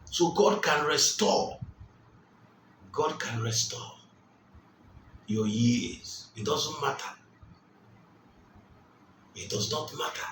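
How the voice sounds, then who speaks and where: Male preacher, slow, emphatic delivery, repeats key lines, mid pitch, distant mic in an echoey room. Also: animated, a bit bright, slight background noise. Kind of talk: sermon. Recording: roomy, distant mic, faint ambience.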